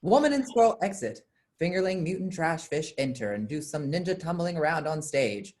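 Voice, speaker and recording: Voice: medium pitch, big pitch swings, fairly quick, a little mellow. A narrator reading out scripted stage directions for a staged play reading.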